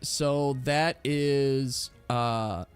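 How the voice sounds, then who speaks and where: A man's voice: mid-range voice, fairly even intonation, very slow, smooth, faint music behind. A man acting as game master of a casual tabletop role-playing game, chatty and explaining the rules as he goes.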